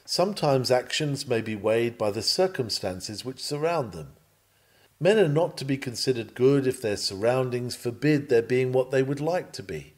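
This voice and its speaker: A man reading an old Victorian sermon aloud in an earnest, measured, preacherly manner. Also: mid-range voice, animated, darkish tone. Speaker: sermon reader.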